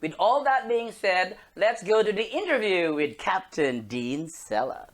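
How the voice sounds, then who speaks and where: A man's voice: sits high, sing-song, a little slow. A man hosting a podcast, reading a playful, campy scripted opening. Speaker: show host.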